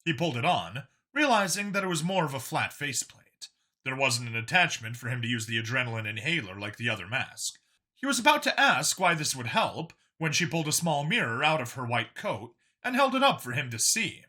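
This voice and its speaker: Audiobook narrator reading scifi story, bright forward voice, animated pitch. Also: brisk.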